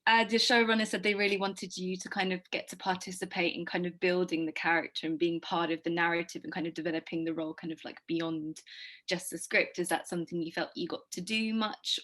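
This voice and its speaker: A young woman with a slightly low voice, working as a journalist. She puts her questions casually over a video call from an indoor room. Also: fairly even intonation, fast, fairly bright tone.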